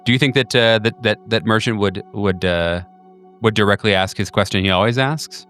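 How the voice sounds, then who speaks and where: A man narrating as game master of a tabletop horror game, talking in a halting way with many restarts. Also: fairly low pitch, fairly bright tone, clean tone, faint music behind.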